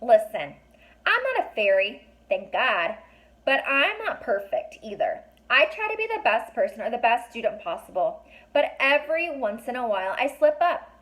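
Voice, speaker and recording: A woman's voice: fairly high pitch, very animated, normal speed, fairly bright tone, low-quality recording. A woman plays a young boy in a children's storybook. He is outspoken and indignant, speaking bluntly and with emphasis, as someone who will not back down. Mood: earnest, defiant.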